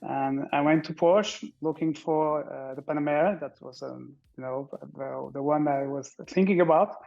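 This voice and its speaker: A man's voice: fairly high pitch, normal speed, gravelly. A middle-aged man from Portugal, a guest in a casual interview, speaking hesitantly with many fillers and restarts. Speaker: interview guest, car owner. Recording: dull lo-fi audio with faint music.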